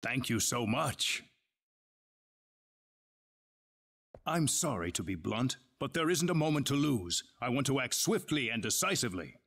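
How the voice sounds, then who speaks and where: An actor playing a grave, courteous leader of an advanced civilization in a video game, speaking in formal, measured exposition. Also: male voice, mid-range voice, sing-song, a bit bright. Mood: measured, instructive. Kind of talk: dramatic video game dialogue.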